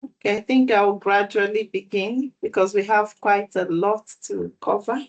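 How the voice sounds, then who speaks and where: A woman hosting an online exam prep session over a video call, welcoming people in a measured way. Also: mid-range voice, steady tone, highs cut off.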